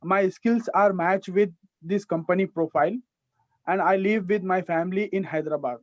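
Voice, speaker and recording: A man's voice: high voice, normal speed, no echo, highs cut off. A young man in his twenties with an Indian English accent, a student reciting a rehearsed self-introduction with small restarts over a video call.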